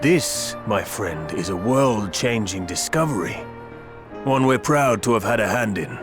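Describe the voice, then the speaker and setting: A proud, earnest scholar in a video game, his voice pitched lowish and his delivery formal and measured. Music plays clearly behind him. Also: lively intonation.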